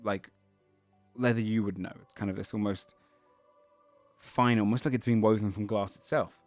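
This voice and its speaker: A man's voice: lowish voice, steady tone, moderate pace, darkish tone, no room sound, soft background music. A man running a tabletop game as game master, describing items and scenes while searching for words.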